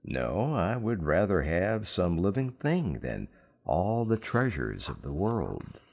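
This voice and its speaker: A sly little goblin of a man from a storybook fairy tale, acted out by a male reader. He is insistent and gleeful, drawing out his words slowly with big, swooping intonation. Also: sits low, sing-song, gravelly, a little mellow, full character voice.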